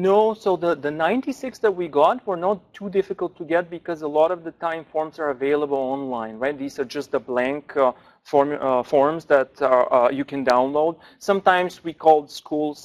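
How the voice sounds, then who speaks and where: A man, a language researcher, answering questions at an academic forum in a thoughtful way with frequent pauses and restarts. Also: on the high side, darkish tone. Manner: thoughtful, many fillers and restarts.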